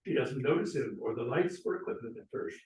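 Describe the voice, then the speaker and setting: A man's voice: mid-range voice, lively intonation, a little fast, a little mellow, a little hiss or hum. A man reading out stage directions at an informal script table read. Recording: echoey room, distant mic.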